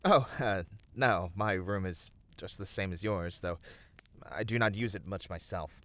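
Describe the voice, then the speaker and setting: A man voicing a gentle, noble fantasy adventurer in an ASMR roleplay, speaking soothingly and earnestly in formal, old-fashioned phrasing. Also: low-pitched, unhurried, no echo. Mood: relaxed, musing. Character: gentle, noble, reassuring male fantasy adventurer comforting a weary friend. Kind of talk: ASMR fantasy roleplay, scripted intimate voice acting.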